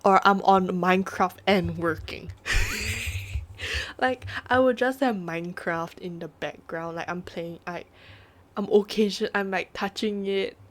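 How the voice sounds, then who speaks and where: A woman's voice: mid-range voice, a little slow. A young woman chatting casually on a live stream, sniffly from a runny nose, rambling and laughing at herself. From Singapore. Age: young adult. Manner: giggly, rambling, self-mocking. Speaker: VTuber streamer. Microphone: home streaming microphone.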